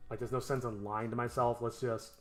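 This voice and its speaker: A man thinking aloud in casual, self-questioning, sometimes profane commentary while he edits his animated show. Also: mid-range voice, fairly quick.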